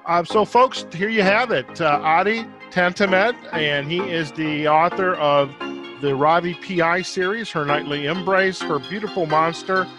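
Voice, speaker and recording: A man hosting a podcast interview in a conversational way, often pausing and restarting his phrases. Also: on the high side, music in the background. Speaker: podcast host.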